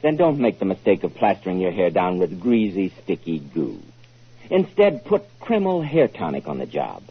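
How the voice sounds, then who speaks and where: A man announcing and hosting a 1940s radio show, with an emphatic, polished salesman's delivery and a husky voice. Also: low-pitched, huge pitch swings, moderate pace. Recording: muffled old 1940s radio recording.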